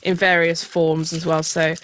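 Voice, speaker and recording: Croaky, chatty woman. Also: deep voice, little pitch movement, unhurried, a little hiss or hum. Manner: chatty, rambling with many fillers. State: croaky voice from being unwell. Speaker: podcast co-host, band member.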